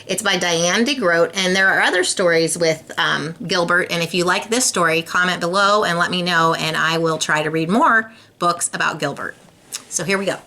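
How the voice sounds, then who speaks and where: A woman's voice: on the low side, moderate pace, sharp tone. A woman reading a children's storybook aloud, expressively and speaking directly to young listeners. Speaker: storybook reader.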